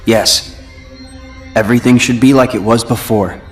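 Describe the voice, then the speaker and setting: An actor playing a calm, wry young male companion in video game cutscenes, speaking in a measured, dry, serious way. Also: medium pitch, natural voice. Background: faint game music.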